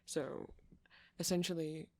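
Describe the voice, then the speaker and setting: Nerdy, gentle vampire for ASMR roleplay, played by a man: creaky, halting, rambling, reassuring. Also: a little high, steady tone, dry recording. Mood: absorbed, rambling. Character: nerdy, kindly, rambling male vampire music fan. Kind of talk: ASMR roleplay, soft conversational monologue.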